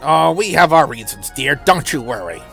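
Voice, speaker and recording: A man's voice: a little high, expressive, gravelly, pushed and bright, faint music behind, full character voice. Man voicing a sly, mock-sweet villainess. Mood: condescending, gloating. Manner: drawn-out condescending endearments.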